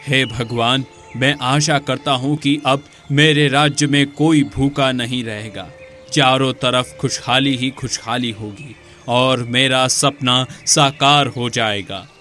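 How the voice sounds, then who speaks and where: Guy as a good gentle king in a fairy tale, normal male pitch, reassuring and solemn. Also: steady tone, slow pace, soft background music. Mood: prayerful. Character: kind, pious, reassuring king. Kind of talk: moral story dialogue.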